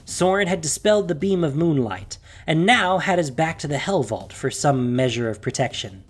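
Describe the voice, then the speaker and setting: A narrator reading a scripted fantasy story in a measured, dramatic way with emphatic phrasing. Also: mid-range voice, animated. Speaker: audiobook narrator.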